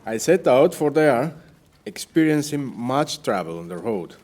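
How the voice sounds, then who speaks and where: A weary Spanish sea captain, shipwrecked and starving, played by a man in an audio play. He speaks at a slow pace in a mid-range voice. His manner is formal, plaintive and old-fashioned. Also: medium pitch, very animated, a little mellow. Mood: rueful recounting. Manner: formal, archaic, measured. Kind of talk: audio play acting, first-person account.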